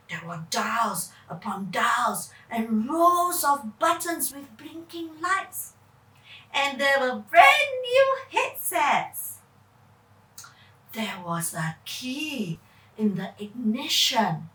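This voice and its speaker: A woman's voice: fairly high pitch, pushed and bright. A woman giving a theatrical children's story reading, putting questions to her young listeners. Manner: theatrical, puts questions to child listeners, vocal sound effects. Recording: echoey room, far from mic.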